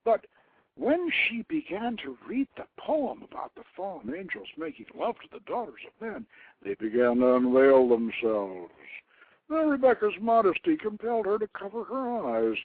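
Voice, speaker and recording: An older man hosting a radio show, reading aloud and commenting informally in a deliberate storyteller's manner. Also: on the high side, huge pitch swings, no echo. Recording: narrow phone-like line, dry.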